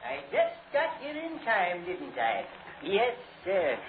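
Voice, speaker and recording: Casting: brisk, creepy-cheerful undertaker's salesman, lively high delivery, vintage horror radio, tinny sound. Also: slight background noise, full character voice. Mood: eerily cheerful. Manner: businesslike, obliging patter. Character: brisk, chipper, eerie male coffin salesman. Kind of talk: 1940s radio acting. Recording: thin, tinny 1940s radio broadcast.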